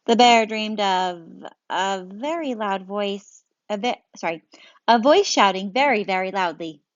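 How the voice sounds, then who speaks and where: A woman's voice: medium pitch, a little slow, no room sound. A woman reading a picture book aloud as a teacher, engaging listeners with questions. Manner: lively, engaging, asks questions. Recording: dry, dull lo-fi sound.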